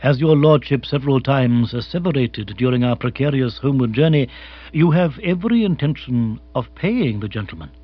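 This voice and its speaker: A man voicing an imperturbable, deferential English manservant in a comic storybook, formal, measured, precise and deadpan. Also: mid-range voice, highs cut off, close to an everyday voice. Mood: calm, precise.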